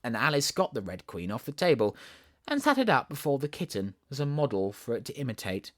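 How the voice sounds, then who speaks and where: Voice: medium pitch, very animated, brisk, no room sound, clean studio sound. A narrator with a Southern English accent reading a children's storybook expressively. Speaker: audiobook narrator.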